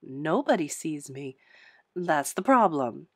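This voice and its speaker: A woman's voice: low voice, very animated, takes it slowly, a little mellow, no room sound, clean studio sound, heavily acted. A woman narrator plays a handsome male ghost from a cozy storybook. He is cheeky and dramatic, with a teasing, taunting way of talking. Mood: dramatic, wistful.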